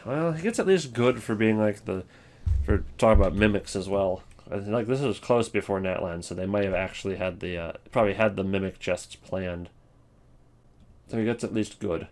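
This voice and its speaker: A man giving casual, opinionated video commentary on game voice lines, thinking aloud in halting, rambling sentences. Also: on the low side, darkish tone. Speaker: video host.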